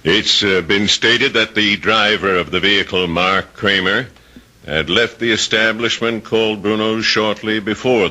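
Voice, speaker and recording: An actor playing a formal, authoritative coroner in a radio drama, official and deliberate. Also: male voice, on the low side, bright, forceful tone. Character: formal, authoritative coroner presiding over an inquest. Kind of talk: old-time radio drama acting.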